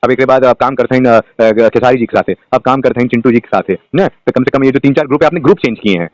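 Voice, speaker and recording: Quick-talking probing male interviewer. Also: mid-range voice, dry recording. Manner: probing, hesitant fillers, pressing on. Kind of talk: interview questions.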